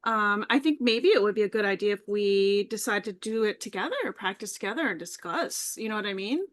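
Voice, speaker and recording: A woman's voice: mid-range voice, lively intonation, brisk. A woman guest talking casually on a live video call. She sounds easygoing and chatty, and laughs readily.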